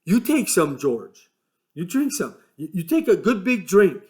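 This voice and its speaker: A man voicing a big, slow-witted, gentle man who stammers eagerly and pleads softly. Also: high-pitched, very animated, pitched above the performer's own voice.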